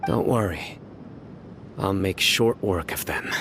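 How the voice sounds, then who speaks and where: A man voicing a stern, solemn investigator in a video game, speaking in a measured, grave and formal way. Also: low-pitched, fairly bright tone, slight background noise, heavily acted. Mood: calm, resolute. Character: stern, solemn young male investigator of few frills.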